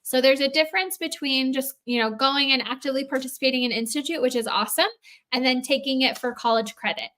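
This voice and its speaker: Brief: woman, fairly high voice, guest on a livestream Q&A, giving conversational explanations. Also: quick pace, fairly bright tone. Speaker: guest answering questions on a livestream.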